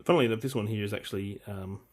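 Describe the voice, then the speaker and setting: Low-pitched man, halting and casual. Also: darkish tone. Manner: hesitant, halting, thinking aloud while handling the machine. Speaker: hobbyist presenter demonstrating vintage audio gear. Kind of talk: casual unscripted equipment walkthrough.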